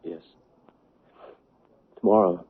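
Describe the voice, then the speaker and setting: An actor playing a bitter, grieving son in a 1970s radio drama, brooding and deliberate, with dramatic pauses and repeated words. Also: male voice, natural voice. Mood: subdued, grieving. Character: anguished, bitter grieving son recounting his past. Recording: muffled 1970s radio recording.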